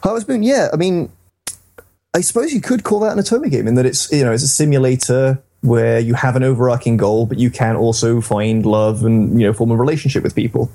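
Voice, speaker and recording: A man's voice: medium pitch, a little fast, dark tone. A man hosting a casual, rambling and enthusiastic discussion about games. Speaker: podcast host.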